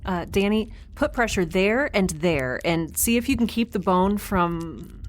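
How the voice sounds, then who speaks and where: An actress playing a flustered but caring woman improvising as medic, halting yet taking charge, in an audio drama. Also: mid-range voice, expressive, a little hiss or hum, lightly acted. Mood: tense, focused. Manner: hesitant, halting, takes charge. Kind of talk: naturalistic audio drama acting.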